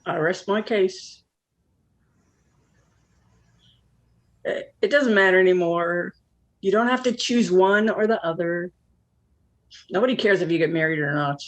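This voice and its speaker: A woman's voice: lowish voice, normal speed, natural voice. A woman acting a blunt, lonely forty-nine-year-old who probes with wry questions in a naturalistic play. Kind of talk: naturalistic one-act play dialogue.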